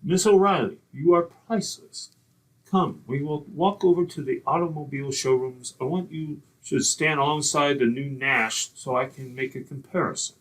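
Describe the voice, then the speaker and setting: A man's voice: on the high side, normal speed, a bit bright, low-quality recording. A man playing a needling music professor, dry and mock-polite, in old-time radio comedy style. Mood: sly, teasing.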